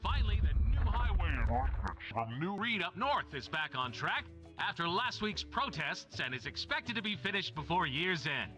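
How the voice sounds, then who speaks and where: A breezy 1950s island radio announcer in a game, high-pitched and lively. He is showy and wry, and his bright delivery rides over faint music. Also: moderate pace, lo-fi sound, full character voice. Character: chirpy folksy 1950s small-island radio announcer, male.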